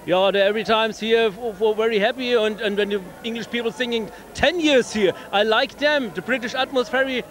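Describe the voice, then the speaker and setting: High-voiced football fan, enthusiastic. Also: male voice, fairly quick, few pauses, a bit bright, a little hiss or hum. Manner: enthusiastic, in broken English. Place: outdoors in a city.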